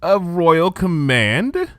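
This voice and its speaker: A man's voice: high-pitched, very animated, extremely slow, fairly bright tone, no echo, clean studio sound, pitched above the performer's own voice, exaggerated voice. A man voicing an earnest, bookish, anxious young female pony in a storybook reading, polite and careful in manner. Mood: uneasy. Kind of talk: storybook fan fiction character reading.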